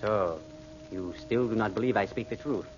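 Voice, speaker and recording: A man playing a proud young desert chief in a vintage radio serial, low in pitch. His speech is formal and guarded, on a muffled old recording. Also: moderate pace, faint music behind, natural voice. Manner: formal, stately phrasing. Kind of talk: 1940s radio drama acting.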